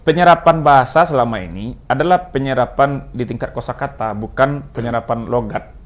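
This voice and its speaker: Minang-accented man explaining calmly. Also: mid-range voice. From West Sumatra, Indonesia. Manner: measured, explanatory, hesitant fillers. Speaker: language expert guest. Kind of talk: talk show discussion.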